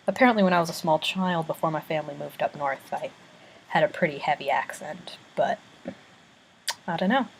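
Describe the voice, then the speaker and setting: Young woman vlogger, fairly low voice, casual and rambling, lots of ums, bedroom webcam recording. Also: rough recording. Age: young adult. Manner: chatty, frequent ums, self-deprecating asides. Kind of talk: casual chat and reading aloud. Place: small bedroom with bunk bed, indoors. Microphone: built-in webcam mic.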